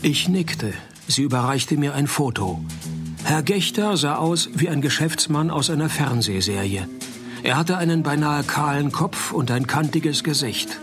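Deadpan, higher-voiced private detective. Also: male voice, unhurried, over music. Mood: dry narrating. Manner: deadpan, sarcastic, laconic. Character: deadpan, wisecracking male private detective narrator. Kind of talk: hard-boiled radio play narration and dialogue.

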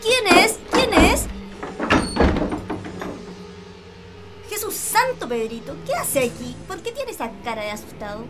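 An anxious Chilean housekeeper in a radio play. Her high voice swings up and down in flustered, deferential exclamation. Music plays clearly behind her. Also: sing-song, moderate pace. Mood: startled. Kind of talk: Spanish-language radio drama acting.

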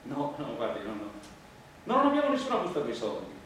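A man's voice: mid-range voice, huge pitch swings, fairly bright tone. Flustered street actor played by a man, very echoey. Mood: flustered, protesting. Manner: repetitive, halting, insistent. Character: flustered, naive street actor protesting his innocence. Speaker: stage actor. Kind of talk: farcical stage dialogue. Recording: roomy, noisy, echoing distant stage recording.

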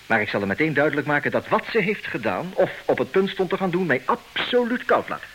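Smug husband, animated and sharp. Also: male voice, a little high, a little fast, low-quality recording. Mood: smug triumph. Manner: theatrical, self-satisfied, declaiming. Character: smug, calculating jealous husband, self-styled expert on women. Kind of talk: comic radio play acting. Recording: hissy old radio play recording.

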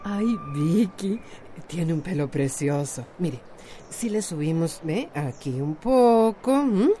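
An actress playing a bold, friendly hairdresser in a radio soap, with a Latin American Spanish accent. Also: female voice, lowish voice, animated, takes it slowly, mellow, faint music behind. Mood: friendly, persuasive. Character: bold, independent adult woman hairdresser, friendly and reassuring. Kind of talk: radio soap opera acting.

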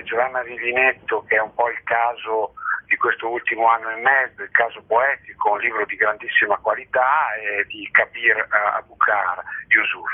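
Husky man, dull lo-fi sound. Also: mid-range voice. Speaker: festival artistic director, interviewee. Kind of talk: spoken interview, explanatory. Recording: dull, narrow-band radio or phone-like sound.

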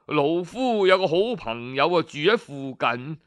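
Man playing elderly male scholar, storybook tale, Cantonese accent, self-assured, old-fashioned, clear voice. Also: a little high, sing-song, measured pace, voice pushed down.

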